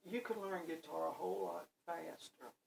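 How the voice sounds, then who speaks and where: A man's voice: high voice, steady tone, moderate pace, creaky, a little mellow. A man in his sixties giving a casual guitar lesson, talking in a rambling, folksy way with many fillers. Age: 60s. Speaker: guitar teacher. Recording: voice a bit far from the mic, faint background noise.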